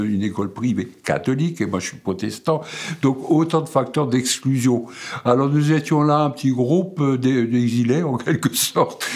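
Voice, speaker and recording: A man in his eighties from Alsace, whose first language is Alsatian, speaks haltingly about his life, with many fillers and repeated words. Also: medium pitch, darkish tone. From Alsace, France. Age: 80s. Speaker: painter and sculptor interviewed. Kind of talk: hesitant reflective monologue.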